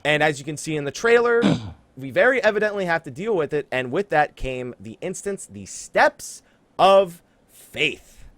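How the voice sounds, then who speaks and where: A man's voice: a little high, big pitch swings, normal speed, fairly bright tone, dry recording. A man hosting a casual gaming podcast, talking conversationally with teasing emphasis. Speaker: podcast host.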